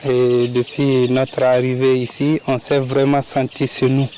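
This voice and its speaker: Steady man speaking outdoors. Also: mid-range voice, unhurried, slight background noise. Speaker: interviewee.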